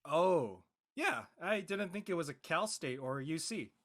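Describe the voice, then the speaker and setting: A man's voice: a little high, lively intonation, moderate pace, a bit bright. A man playing an easygoing college-bound young Californian in casual scripted dialogue. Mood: casual, friendly.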